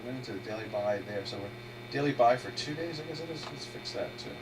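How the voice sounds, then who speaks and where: A man's voice: medium pitch, fast. A man fighting a head cold who hosts a live trading webinar, thinking aloud in a rambling way and restarting his sentences. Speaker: trader hosting a live webinar. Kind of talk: casual live market commentary, thinking aloud. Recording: distant mic with steady electrical hum.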